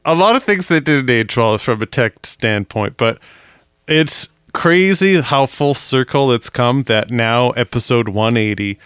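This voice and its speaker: A man's voice: medium pitch, unhurried, fairly bright tone, clear, resonant tone, dry recording, clean studio sound. A man co-hosting a casual podcast, meandering through his points with lots of restarts and laughs. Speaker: podcast co-host. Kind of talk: casual chat.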